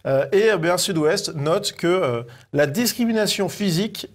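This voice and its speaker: Higher-pitched man co-hosting. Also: animated, unhurried. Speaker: co-host presenting media clips. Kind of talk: conversational media review show.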